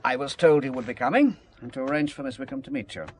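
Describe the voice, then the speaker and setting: An actor playing an affable, faintly sinister undercover agent in an audio drama, polite and measured in manner. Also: male voice, mid-range voice, animated, slight background noise, close to an everyday voice. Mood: smoothly guarded.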